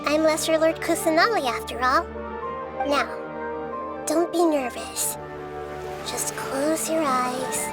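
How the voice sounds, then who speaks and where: A little goddess from a video game with a very high, youthful voice. She is gentle and wise, speaking in measured, articulate phrases over clearly audible music. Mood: calm, reassuring. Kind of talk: video game cutscene acting. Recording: music playing behind the voice.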